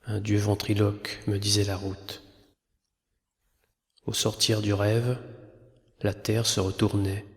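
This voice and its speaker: Slow, low-voiced man reciting poetry. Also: level tone. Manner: solemn, measured, pausing between phrases. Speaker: poet narrator. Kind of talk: poetry recitation over a film. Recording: long reverb, clear background noise.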